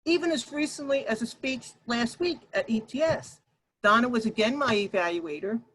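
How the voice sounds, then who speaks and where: High-voiced woman presenting, noisy call audio. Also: expressive, normal speed. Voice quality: loud, projecting voice. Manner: addresses listeners directly with rhetorical questions. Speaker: workshop leader and Toastmasters speaker. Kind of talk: workshop presentation to an online group. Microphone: video call.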